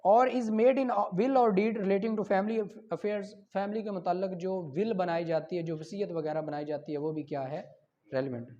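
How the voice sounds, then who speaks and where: A man's voice: sits high, steady tone, unhurried, dark tone. Pakistani male law lecturer, dull lo-fi sound. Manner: explanatory, with everyday examples and rhetorical questions. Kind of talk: lecture reading legal articles aloud and explaining them. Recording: dull lo-fi audio.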